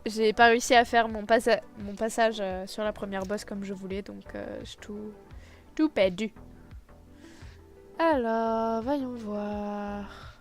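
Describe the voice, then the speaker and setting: A woman's voice: medium pitch, steady tone, takes it slowly, soft background music. A young woman chatting casually while playing a mini golf game, laughing often and a little stuffed up with a cold. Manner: laughs often, playful and dramatic outbursts. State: has a cold. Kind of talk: casual gaming chat.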